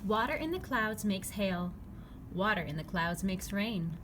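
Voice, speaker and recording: A woman reading a children's picture book aloud, phrasing it clearly and simply for young listeners. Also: medium pitch, animated, a little slow. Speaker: read-aloud narrator. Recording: clearly noisy background ambience.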